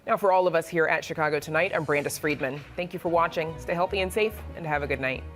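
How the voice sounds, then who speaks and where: Lowish-voiced woman news anchor. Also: fast talker, music in the background. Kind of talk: scripted broadcast sign-off. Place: television studio.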